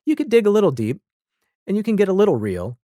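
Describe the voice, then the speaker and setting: A man's voice: sits high, big pitch swings, fast talker, warm tone, no room sound, clean studio sound. A man talking casually as a podcast guest, telling stories in an informal, opinionated way. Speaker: podcast guest, audio drama creator. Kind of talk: casual interview chat.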